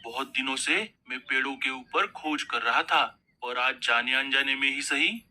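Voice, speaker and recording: A man voicing a kindly, excitable owl doctor, an expressive old-style uncle in a children's cartoon. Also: medium pitch, a little slow, slight background noise. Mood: delighted, grateful. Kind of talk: Hindi children's cartoon dialogue.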